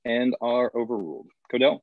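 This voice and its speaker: Casting: a male actor as a wisecracking, sarcastic colony boss in a sci-fi script reading, mid-pitched, muffled recording. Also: fairly even intonation, normal speed, close to an everyday voice. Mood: brisk authority. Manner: flippant, sarcastic. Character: cocky, irreverent male colonial governor who banters crudely. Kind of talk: screenplay table read.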